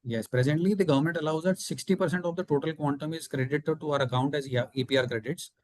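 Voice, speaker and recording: A man's voice: on the high side, quick pace, a little mellow, dry recording. A man with an Indian accent, a company executive giving formal, methodical answers on an earnings call.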